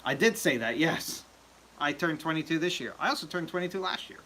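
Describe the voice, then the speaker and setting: Man, livestream host, casual chat, rambling, slightly high, very bright. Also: brisk, slight background noise. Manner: rambling, filler-heavy, joking.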